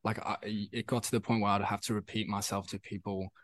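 A man's voice: on the low side, little pitch movement, brisk, no room sound. Young Afrikaans-speaking South African man. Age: young adult. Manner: rambling, repeats himself, many fillers. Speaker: musician guest. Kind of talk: casual interview chat.

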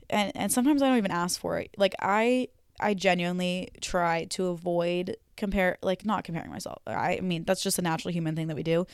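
A young woman talks through her solo podcast in a loose, rambling way, full of ums and casual swearing. Her voice sits a little low and comes across smooth and clear, with a slight hoarseness. The sound is dry and close, with no room echo. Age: young adult. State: voice a bit hoarse. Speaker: podcast host.

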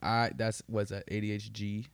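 A man's voice: on the low side, flat delivery, normal speed, clear, resonant tone, dry recording. A young man co-hosting a podcast, telling stories in casual, profane, joking slang. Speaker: podcast co-host. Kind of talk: casual banter and storytelling.

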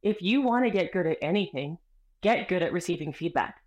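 A woman author speaking as a guest in a casual interview, expressive and energetic as she tells stories. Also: on the low side, fairly quick, highs cut off. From Canada. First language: Spanish.

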